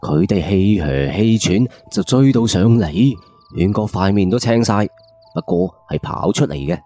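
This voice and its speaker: A man's voice: fairly low pitch, expressive, a little slow, mellow, faint music behind. A man with a Cantonese accent narrating a first-person ghost story in a colloquial, suspenseful late-night radio style.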